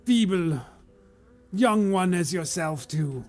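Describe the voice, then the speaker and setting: A man's voice: high-pitched, animated, slow, darkish tone, soft background music. A man voicing an imperious cult leader with a pompous, formal way of speaking. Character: imperious holy cult leader demanding tribute.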